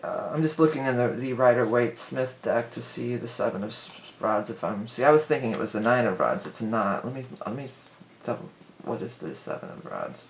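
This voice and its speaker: A hoarse woman giving a casual, rambling tarot reading, full of fillers and restarts. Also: a bass voice, expressive, fairly quick, roomy sound, a little hiss or hum, rough recording. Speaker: tarot reader.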